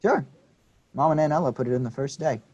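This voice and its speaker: A man's voice: medium pitch, fast talker, darkish tone, lo-fi sound, lightly acted. A man playing an earnest, polite teenage nephew. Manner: polite, earnest, a little unsure. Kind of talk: staged play reading.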